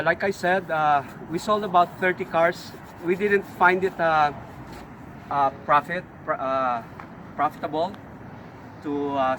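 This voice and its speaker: Man, Filipino accent, middle-aged, fairly high voice, halting casual talk, many fillers, outdoor lot, traffic noise. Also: fairly bright tone, rough recording. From Philippines. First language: a Philippine language. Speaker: auto shop owner. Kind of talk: casual shop tour vlog. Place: outdoor auto shop lot.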